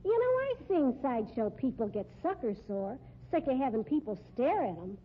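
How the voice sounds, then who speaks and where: A circus fat lady in a 1950s radio play, fretting and grousing in folksy slang. Her voice is high. The old recording is muffled with faint noise. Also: big pitch swings, normal speed. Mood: grumbling. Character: grumbling, worried female circus fat lady. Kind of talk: 1950s radio drama acting.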